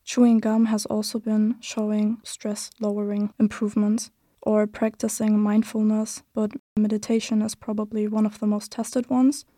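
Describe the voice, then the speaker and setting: Mellow, flat-toned young woman explaining. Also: medium pitch, moderate pace, no room sound. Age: 20s. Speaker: student co-host. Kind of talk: reading research findings on a podcast.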